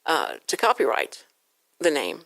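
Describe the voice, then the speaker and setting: A woman with a slightly low voice hosts a casual talk show. She tells stories in an animated, conversational way, and the sound around her is very dry. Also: a little slow, dry recording.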